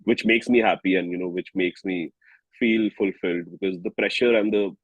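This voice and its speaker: Low-voiced Indian man, halting and candid. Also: fairly even intonation, breathy edge, no echo, phone-quality sound. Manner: halting, searching for words, candid. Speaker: singer-songwriter guest. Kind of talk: casual interview chat.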